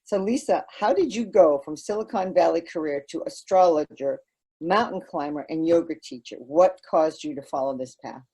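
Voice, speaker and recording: A woman's voice: sits low, normal speed, dark tone, husky, low-quality recording. A woman hosting an interview show, reading a guest introduction with small stumbles. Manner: scripted, slightly stumbling delivery. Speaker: podcast host.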